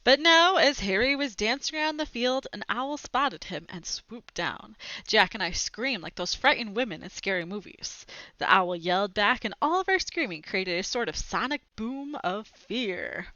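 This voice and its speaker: Young woman, bright crisp-edged tone, expressive storybook read-aloud, dry slightly dull headset recording. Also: medium pitch, moderate pace, dry recording. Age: young adult. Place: small room at home, indoors. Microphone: headset boom mic.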